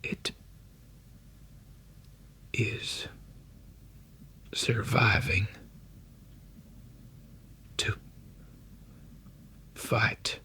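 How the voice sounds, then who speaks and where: A man voicing a cold crystalline alien in an audiobook, breathy and whispery, with halting, clipped, analytical phrasing. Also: lowish voice, steady tone, bright, forceful tone, pitched above the performer's own voice, full character voice. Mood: cold, measured. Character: cold crystalline alien commander and his second-in-command. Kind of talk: audiobook character voice.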